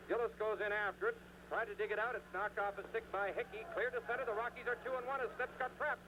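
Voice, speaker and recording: Male hockey commentator, high-pitched and excitable, live 1970s radio sound with clear background noise. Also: fast. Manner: excitable, rising on scoring chances. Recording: noisy vintage 1970s radio broadcast.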